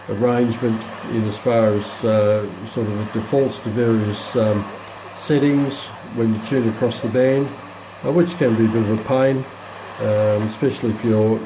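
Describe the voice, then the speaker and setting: A middle-aged man giving an unscripted product demonstration in a halting, matter-of-fact way. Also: on the low side, reverberant, noisy background. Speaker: presenter of a radio review. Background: shortwave radio broadcast playing.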